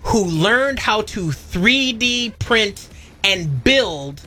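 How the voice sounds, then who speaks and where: A man's voice: high voice, lively intonation, very slow, bright, forceful tone, low-quality recording. A man co-hosting a talk show, speaking casually with opinions, in an emphatic, slangy, explanatory way.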